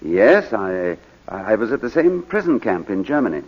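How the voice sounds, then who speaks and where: A proud war veteran under cross-examination in a 1940s radio drama. His husky, mid-pitched voice is halting and indignant, with big swings of pitch, on a dull old broadcast recording. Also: sing-song, full character voice. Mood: guarded, hesitant.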